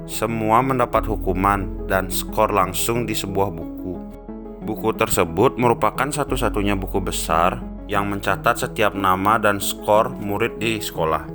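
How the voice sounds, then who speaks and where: A man's voice: lowish voice, normal speed. A man narrating a scripted story. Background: music behind the voice.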